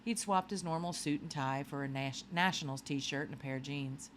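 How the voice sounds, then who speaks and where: A woman reading a children's novel aloud in an animated storytelling manner. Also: sits low, moderate pace, a little hiss or hum. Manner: animated storytelling with acted dialogue. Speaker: audiobook reader.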